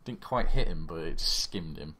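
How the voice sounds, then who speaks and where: Deep-voiced male game master. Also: animated, moderate pace, bright, forceful tone. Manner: vivid, blow-by-blow descriptions with sound effects. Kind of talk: narrating a tabletop horror game.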